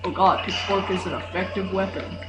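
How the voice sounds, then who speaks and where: A man's voice: high voice, a little fast. A teenage boy chatting casually as he commentates on a game he is playing. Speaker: gamer recording a playthrough. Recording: echoey room with loud background music.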